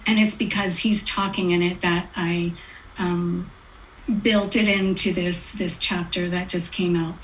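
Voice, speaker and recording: A middle-aged woman from Quebec gives a poetry reading. She speaks quite slowly, in a voice pitched a little above average. Her introductions halt on small fillers, but her reading stays measured. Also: slight background noise, rough recording. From Outaouais region of Quebec, Canada. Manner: hesitant, halting introductions then measured reading. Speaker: poet.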